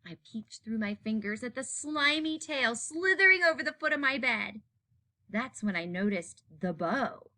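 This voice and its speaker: A woman reading a children's picture book aloud in an expressive narrating style. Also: fairly high pitch, moderate pace. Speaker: story reader.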